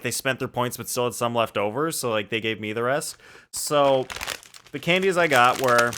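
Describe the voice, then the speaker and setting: A man's voice: medium pitch, bright, forceful tone, a little hiss or hum. A young man chats casually to livestream viewers, telling anecdotes in a rambling way and often searching for words.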